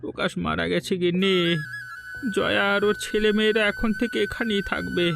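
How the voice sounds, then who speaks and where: A man playing a kindly, worried old father and grandfather in a cartoon folk tale, speaking gently. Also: high-pitched, wildly swooping pitch, normal speed, vocal fry, exaggerated voice. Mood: pleading. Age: older. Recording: music behind the voice.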